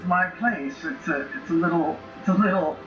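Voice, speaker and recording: A man's voice: fairly high pitch, normal speed, lo-fi sound, over music. A man playing a tabletop game, improvising casual in-character banter in a joking, stop-and-start way. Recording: roomy, distant mic, noisy background.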